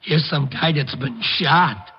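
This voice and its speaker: An actor playing a hapless, bumbling police underling in an old-time radio drama, dim, complaining and exclamatory as comic relief. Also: male voice, medium pitch, takes it slowly, lightly acted. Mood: flustered, eager. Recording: muffled, noisy vintage radio recording.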